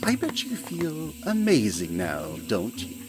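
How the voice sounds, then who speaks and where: A man's voice: a little high, huge pitch swings, a little slow. A man voicing a smug male hyena mad scientist who talks in a silky, condescending, theatrical way, with frequent chuckles. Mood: gloating. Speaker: villainous scientist narrating to the listener. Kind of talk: hobby audio play. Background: background music.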